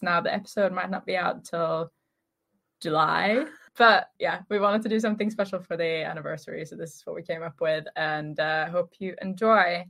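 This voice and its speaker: A woman's voice: fairly low pitch, gravelly, a bit bright. A woman in her twenties hosting a casual fan chat podcast. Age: 20s. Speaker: podcast host.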